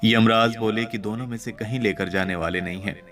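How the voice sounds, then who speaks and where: A man narrating a devotional moral story in an expressive, instructive storytelling style, addressing listeners directly. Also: lowish voice, fairly quick. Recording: clear background music under voice. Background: music.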